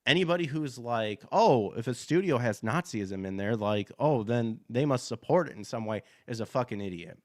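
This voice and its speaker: A hoarse man hosting a gaming podcast, reading news articles aloud and breaking into blunt, profane asides full of fillers. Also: mid-range voice, animated, moderate pace, few pauses, darkish tone. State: hoarse from allergies. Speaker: podcast host.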